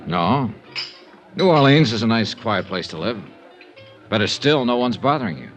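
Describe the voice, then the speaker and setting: Wry radio detective, lively pitch. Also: male voice, moderate pace. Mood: easy, guarded. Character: tough, wry insurance investigator narrating his case. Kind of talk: 1950s radio detective drama, first-person narration. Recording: muffled 1950s radio recording with music.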